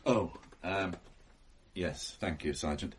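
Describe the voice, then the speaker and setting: An actor playing a courteous, sombre police superintendent in a radio drama, formal and a little hesitant, with a British accent. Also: male voice, deep voice, slow pace, slightly rough, full character voice. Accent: British English.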